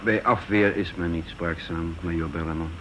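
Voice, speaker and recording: An actor playing a guarded, earnest intelligence chief in a radio drama, musing and hesitant, on a dull, noisy old recording. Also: male voice, deep voice, fairly even intonation, moderate pace, fairly bright tone. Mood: dry. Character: guarded male intelligence chief, flippant facade over gravity. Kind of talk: radio drama acting with first-person narration.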